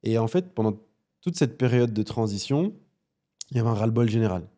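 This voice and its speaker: A man in his thirties from Belgium, a podcast guest talking casually in a studio, halting with many fillers. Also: mid-range voice, animated, warm tone. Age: 30s. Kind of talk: casual interview chat.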